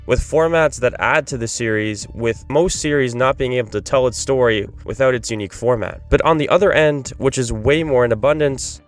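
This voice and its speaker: A man delivering scripted commentary as a guest video essayist. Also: medium pitch.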